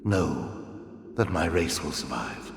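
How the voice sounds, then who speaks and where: Deep, weary, dying aquatic engineer. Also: male voice, very animated, vocal fry, exaggerated voice. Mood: resigned, noble. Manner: halting, solemn, grave. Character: weary, dying male Undyne substation engineer, mournful and noble. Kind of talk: video game cutscene acting. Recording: echoey hall with long reverb.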